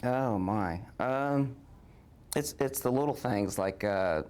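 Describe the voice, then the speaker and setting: A man in his forties with an American accent speaking haltingly and reflectively in a personal interview. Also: mid-range voice, darkish tone, clean tone. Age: 40s. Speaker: interviewee, victim's son.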